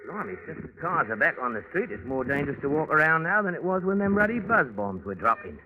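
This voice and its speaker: An actor playing a chirpy, excitable Cockney taxi driver in a 1940s radio drama, deferential and full of exclamations. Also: male voice, a little high, fast. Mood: excited, alarmed. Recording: noisy, dull 1940s radio recording.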